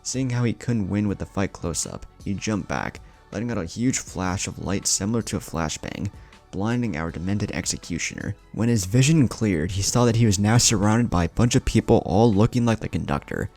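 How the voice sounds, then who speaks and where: A man's voice: fairly low pitch, lively intonation, normal speed, creaky, darkish tone, faint music behind. A man narrating a scripted fan fiction story.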